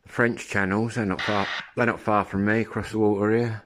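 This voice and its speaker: Casting: English man, fifties, deep voice, informal and halting, rambling hobby vlogger talking into a phone at home. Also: level tone, fairly bright tone, a little hiss or hum. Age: 50s. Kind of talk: casual rambling vlog chat. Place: room at home. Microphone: phone at arm's length.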